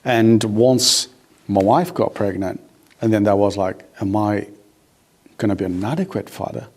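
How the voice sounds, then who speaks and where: A man's voice: fairly low pitch, measured pace, a little mellow. A man from Brazil with a Brazilian accent, a native Portuguese speaker, talking casually about himself in a group. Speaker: panel participant. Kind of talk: casual personal chat.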